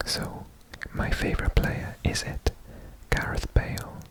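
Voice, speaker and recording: A person from Wales whispering in a breathy, deliberate way for ASMR, repeating words. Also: poor audio. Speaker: ASMR host presenting a personal guessing quiz.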